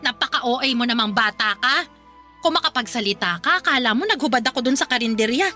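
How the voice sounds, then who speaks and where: Vain flirty filipino mom, high bright voice, whiny n brash, radio drama w faint music. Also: female voice, expressive, fairly quick. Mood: indignant, boastful. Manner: brash, whiny, defensive scolding. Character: shameless, vain, flirty middle-aged mother.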